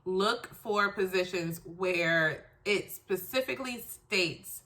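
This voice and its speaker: A woman's voice: medium pitch, slow pace, bright, forceful tone. A congested woman with a stuffy, nasal voice from a cold, giving casual career tips in a chatty, emphatic way. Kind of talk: casual how-to advice video.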